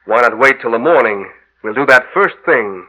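Sly, sharp-voiced villainous chemist. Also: male voice, mid-range voice, animated, dull audio. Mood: sly, calculating. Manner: sly, deliberate, gloating. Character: scheming, greedy, cold-blooded male chemist, a poisoner. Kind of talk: 1940s radio horror drama acting. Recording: old 1930s-40s radio broadcast recording.

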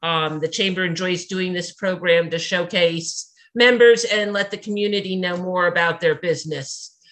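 A woman's voice: on the low side, fairly even intonation, moderate pace, fairly bright tone. A woman hosting a community radio interview program, asking questions in a friendly manner.

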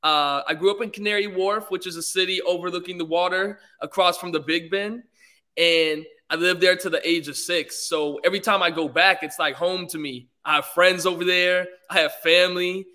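A man's voice: high-pitched, fairly even intonation, normal speed, bright, forceful tone. A young man from England, a guest on a podcast, talking politely and easygoingly with many fillers. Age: young adult. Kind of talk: casual interview.

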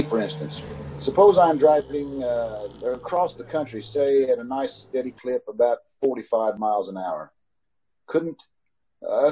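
A man's voice: mid-range voice, dark tone, close to an everyday voice, poor audio. A man playing a haunted, uneasy motorist in an old-time radio suspense drama, recorded over a video call. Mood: nervous, probing. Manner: brooding in narration, hesitant and stammering in dialogue. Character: haunted, uneasy male motorist narrating his ordeal. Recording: video call with clear background noise.

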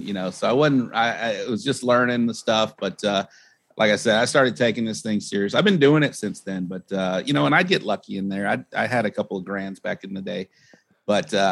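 A man talking as a podcast guest in a casual, rambling, informal way, telling anecdotes as he goes. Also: on the low side. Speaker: podcast guest, competition barbecue pitmaster. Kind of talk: casual conversational interview.

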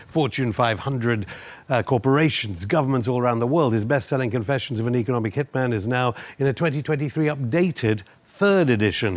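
A man hosting a broadcast interview, framing his points in long, pointed, wordy sentences. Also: on the low side. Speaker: interviewer, show host.

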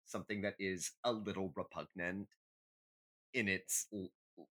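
A man co-hosting a podcast in casual, animated banter, joking as he goes. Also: low-pitched, normal speed. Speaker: podcast co-host.

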